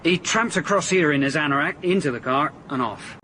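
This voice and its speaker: An actor playing a surly, touchy caretaker in a radio drama, curt, defensive and grudging. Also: male voice, on the high side, animated, brisk, pushed and bright, slight background noise.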